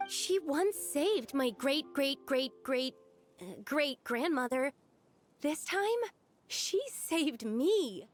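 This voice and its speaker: A woman's voice: high-pitched, expressive, slow pace, faint music behind. A woman playing an earnest, self-doubting young village girl in a video game, with a hesitant manner. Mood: amazed. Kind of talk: video game character acting.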